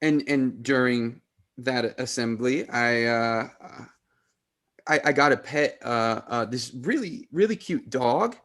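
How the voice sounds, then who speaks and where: A man playing an awkward, earnest teenage brother in a sitcom table read, stammering and halting. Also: medium pitch, a bit bright. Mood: nervous bluffing.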